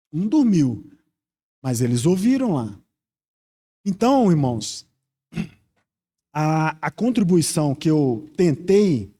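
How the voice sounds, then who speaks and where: High-pitched Brazilian male preacher. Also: dark tone. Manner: earnest, reflective, rhetorical questions to the congregation. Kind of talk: expository Bible teaching sermon.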